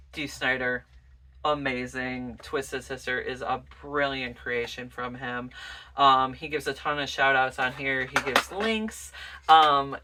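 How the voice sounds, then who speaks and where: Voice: mid-range voice, sharp tone, distant mic. A vlogger chatting casually and informally in a rambling way as host of a music collection show. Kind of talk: casual rambling vlog chat.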